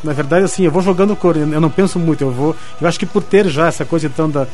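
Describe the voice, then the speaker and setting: A man with a Curitiba accent, a guest illustrator answering radio interview questions in quick, eager bursts full of restarts. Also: on the high side, darkish tone, soft background music. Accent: Curitiba Brazilian Portuguese. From Curitiba, Brazil. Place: radio studio.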